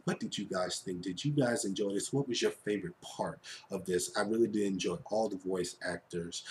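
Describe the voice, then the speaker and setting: Male online reviewer, lowish voice, informal and gushing, conversational fan delivery with repeated phrases for emphasis. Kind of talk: casual, enthusiastic fan review to camera or mic.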